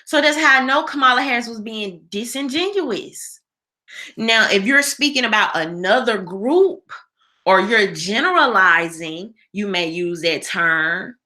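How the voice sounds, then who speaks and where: An American woman hosts a casual livestream in a bright, forward voice. She takes her time, speaking conversationally and with emphasis as she gives her opinions and answers viewers. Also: mid-range voice, expressive, measured pace. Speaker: live show host.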